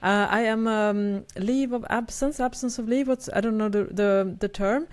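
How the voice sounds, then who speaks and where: A woman's voice: fairly high pitch, steady tone, moderate pace, a little mellow. A woman, co-hosting a casual podcast chat, thoughtful and hesitant, often repeating words as she searches for them. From Sweden. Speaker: podcast co-host.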